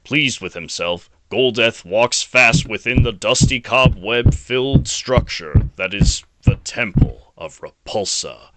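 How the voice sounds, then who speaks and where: A man's voice: medium pitch, slow, hardly any pauses, background noise. A man reading scripted fantasy audio drama narration as the storyteller.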